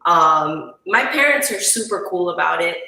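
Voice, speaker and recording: Bright-voiced middle-aged woman in echoey room. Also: lowish voice, animated, normal speed, gravelly. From Chile, grew up in Miami. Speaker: guest on a cigar show. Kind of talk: casual chat, personal storytelling. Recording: roomy echo, distant from mic.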